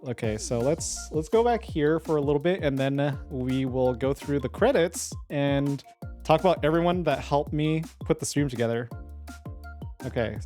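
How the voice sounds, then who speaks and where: A giggly, chatty streamer talks casually in a mid-range voice, rambling with plenty of filler words. Soft music plays quietly underneath. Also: mid-range voice. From United States. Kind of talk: casual live-stream chat.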